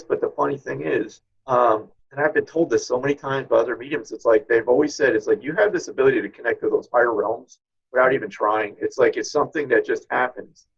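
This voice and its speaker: Interview guest, male, middle-aged. Husky and creaky, reflective, conversational delivery. Slightly muffled, low-fidelity recording. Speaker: guest, spirit medium. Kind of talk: informal interview talk.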